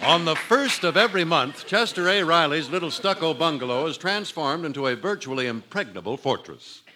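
A man's voice: on the high side, expressive, fairly quick, poor audio. A man announcing and narrating an old radio show in a formal, grandiloquent, scripted style. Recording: old radio broadcast recording. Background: music.

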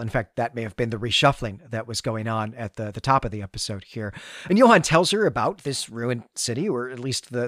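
A man's voice: mid-range voice, dry recording, studio quality. A man hosting a podcast, recapping a story conversationally in a chatty, hesitant way and often interrupting himself. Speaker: podcast host.

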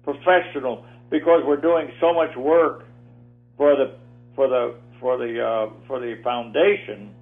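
A man's voice: mid-range voice, steady tone, a little slow, faint music behind. Rambling 89-year-old man on a phone line. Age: 80s. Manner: rambling, repeats words, chatty. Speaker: guest. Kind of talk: casual phone interview. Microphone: telephone.

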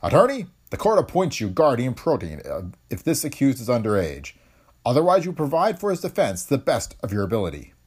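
Man playing an older male judge, stern, formal, measured, raised and lively pitch, husky.

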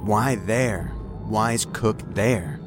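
A male counterterrorism agent in a sci-fi audio drama, with a low voice. He is blunt and impatient, skeptical of his partner and sardonic about it. Music runs clearly underneath. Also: takes it slowly. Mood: uneasy. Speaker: federal agent.

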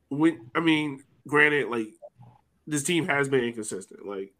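A man's voice: medium pitch, animated, slow, fairly bright tone, poor audio. A man hosting a sports podcast, talking casually and thinking aloud as he goes. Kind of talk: casual soccer discussion.

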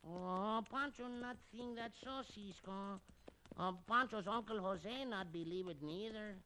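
Jolly high-voiced Mexican sidekick. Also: male voice, unhurried, pushed and bright. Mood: playful. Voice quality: exaggerated broken English. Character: jolly Mexican cowboy sidekick, simple and boastful. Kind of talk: old-time radio western acting. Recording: noisy old radio recording.

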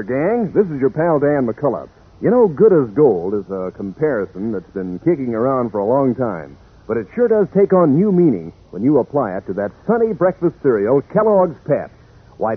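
A man delivering a 1940s radio commercial to children as a chummy, emphatic announcer with a husky voice. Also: medium pitch. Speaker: radio announcer and cereal pitchman. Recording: tinny, band-limited 1940s radio recording.

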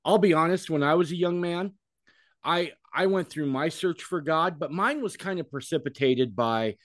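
A man's voice: a little high, normal speed, a bit bright, no echo. A man hosting a podcast, chatting casually and rambling, repeating words as he gathers his thoughts. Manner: rambling, self-deprecating, repeats words while thinking. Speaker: podcast host. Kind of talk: casual interview chat.

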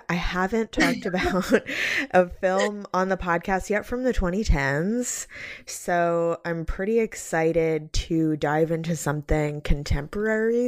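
A woman hosts a film podcast in a conversational way. Her voice sits a little low for a woman. The sound is very dry, with no room around it. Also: no room sound. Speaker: podcast host.

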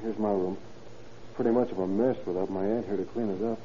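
A man's voice: lowish voice, steady tone, brisk, warm tone, natural voice. Guy playing a defensive young american nephew in an old radio crime show, dull hissy recording. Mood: guarded. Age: young adult. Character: evasive young nephew under suspicion, defensive and easily agitated. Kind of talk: old-time radio crime drama acting. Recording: muffled, noisy vintage radio recording.

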